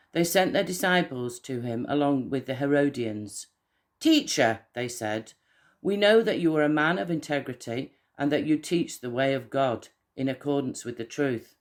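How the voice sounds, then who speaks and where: A man reading a Bible passage aloud as a member of a church. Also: mid-range voice.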